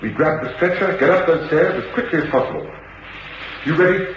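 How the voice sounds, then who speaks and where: An English actor playing a commanding, coolly reasoning detective with clipped, authoritative delivery in an old British radio drama. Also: male voice, on the high side, lively intonation, fairly quick, fairly bright tone. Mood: urgent, commanding. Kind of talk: 1950s-60s British radio drama acting. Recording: echoey, dull, noisy old radio recording.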